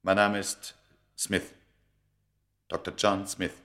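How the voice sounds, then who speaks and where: Bright, tense, bewildered male scholar. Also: sits low, little pitch movement, gravelly, exaggerated voice. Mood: puzzled. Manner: agitated, halting, questioning. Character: bewildered, frightened male scholar, a doctor of Egyptology. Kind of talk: German radio play acting.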